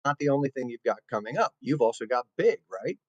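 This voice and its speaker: A man's voice: mid-range voice, expressive, fast talker, highs cut off, a little hiss or hum. A man hosting an interview, asking questions in an effusive, complimentary way. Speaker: show host, interviewer.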